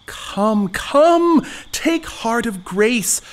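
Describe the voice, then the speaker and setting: A woman's voice: fairly high pitch, animated, extremely slow. A woman playing a scheming, cheeky male servant in an audio farce, with a bustling, bossy, mock-grand delivery. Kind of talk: theatrical period farce in an audio play.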